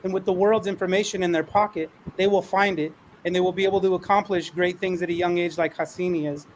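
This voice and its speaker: High-pitched man moderating a panel. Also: steady tone, fast, slight background noise, poor audio. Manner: encouraging, affirming. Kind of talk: moderating an online panel discussion.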